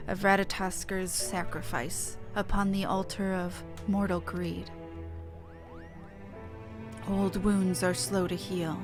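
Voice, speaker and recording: A woman's voice: lowish voice, lightly acted. A woman voicing an ancient female dragon in a fantasy game, solemn and stately, with archaic, formal phrasing. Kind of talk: reading game dialogue aloud in character.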